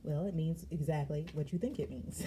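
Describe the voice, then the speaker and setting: A woman's voice: sits low, slight background noise. A woman tarot reader talking in a slangy, emphatic way during a casual spiritual reading. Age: adult. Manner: lively, slangy and emphatic, with frequent laughs.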